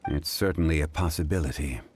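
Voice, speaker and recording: An actor playing a calm, dignified, analytical gentleman in a video game, speaking formally and deliberately with a low voice. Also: noisy background. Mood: grave, analytical. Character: calm, dignified, formal adult male consultant, measured and analytical.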